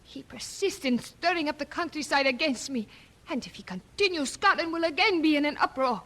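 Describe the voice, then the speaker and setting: A woman's voice: high-pitched, expressive, fairly quick, fairly bright tone. An actress playing a proud, ambitious young queen in a historical audio drama, speaking in a formal, regal manner. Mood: indignant, exasperated.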